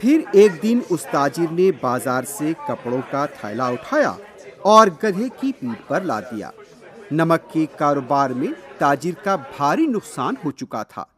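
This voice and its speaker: A man narrating a scripted children's fable as a storyteller, expressive and deliberate. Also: fairly high pitch. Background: music.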